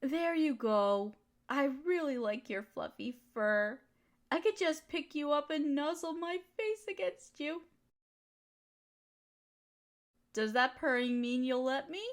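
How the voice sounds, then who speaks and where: A woman's voice: high-pitched, animated, a little slow. A woman playing an affectionate, teasing girlfriend in an intimate comfort roleplay, soothing and cooing as she pampers her partner. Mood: cooing, playful. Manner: cooing, soothing pet-talk. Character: affectionate, teasing, nurturing girlfriend of a fox spirit. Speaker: audio roleplay voice performer.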